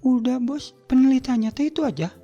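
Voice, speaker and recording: Man putting on an excitable, high-pitched naive cartoon sidekick. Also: a little fast, highs cut off, faint music behind. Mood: curious. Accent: Sundanese. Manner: playful, simple-minded questioning, echoing words. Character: cartoon sidekick Ucup, excitable, naive, questioning junior partner. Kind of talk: comic cartoon dialogue.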